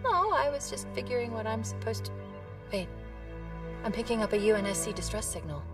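A woman voicing a female AI construct who speaks in a calm, precise, clinical way. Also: on the high side, a little fast, far from the mic. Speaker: AI companion. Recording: game audio with music, off-mic.

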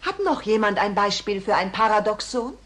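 An actress playing an encouraging, polite schoolteacher in a German cartoon dub, formal and instructive. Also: female voice, mid-range voice, animated, normal speed, a bit bright, lightly acted. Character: encouraging, polite adult schoolteacher of gifted children.

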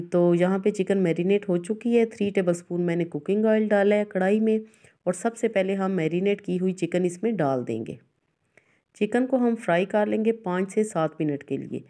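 A woman's voice: mid-range voice, dark tone. A woman hosting a cooking show, narrating a recipe step by step and instructing viewers directly.

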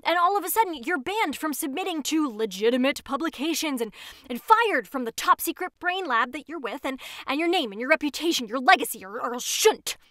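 Rambling, self-important neuroscientist, highish voice. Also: female voice, a bit bright, no echo. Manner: halting, digressive, self-justifying. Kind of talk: audio drama monologue as if recording a homemade show.

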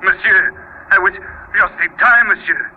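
An actor playing an excitable, polite French airline co-pilot, speaking deferential, halting English with a French accent in an old radio drama. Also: male voice, high voice, breathy edge. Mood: breathless alarm. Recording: muffled, noisy old radio drama recording.

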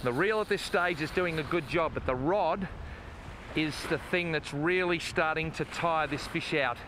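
A man's voice: fairly high pitch, normal speed. An older Australian man hosting a fishing show, talking to viewers in a folksy, exclamatory way from a beach. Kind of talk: enthusiastic outdoor commentary. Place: outdoors on a sandy beach by the sea. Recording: outdoor wind and water noise.

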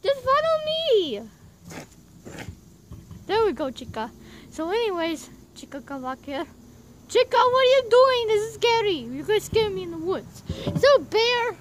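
A woman's voice: very high-pitched, sing-song, measured pace, noisy background, full character voice. A woman voicing a jumpy male plumber plush who complains and scares easily. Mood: whiny. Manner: whiny, easily spooked.

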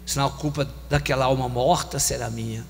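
A man in his forties with a Brazilian Portuguese accent, preaching a sermon on a handheld mic, repeating phrases with emphasis and slowing down on key lines. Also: medium pitch, fairly even intonation, creaky, faint music behind. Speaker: bishop preaching. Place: large church hall. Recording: long church hall reverb, faint hum.

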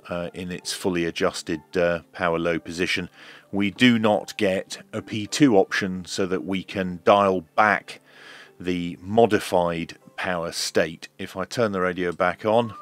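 Low-pitched man, slow and measured. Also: a bit bright. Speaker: host of a radio repair video. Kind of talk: hands-on tech demonstration commentary.

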